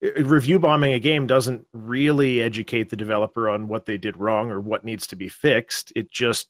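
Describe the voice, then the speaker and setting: A man's voice: medium pitch, fairly even intonation, no echo. Hesitant man host, dry close sound. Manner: measured, hesitant, thinks aloud. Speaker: channel host. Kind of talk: casual discussion.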